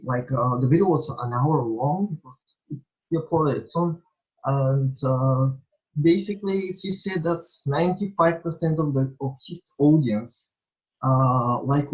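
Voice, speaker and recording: A young man from Bulgaria, a native Bulgarian speaker with a Bulgarian accent, answering interview questions in a halting way, full of fillers and restarts. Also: mid-range voice. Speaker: interviewee, small vlogger. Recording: dull lo-fi recording.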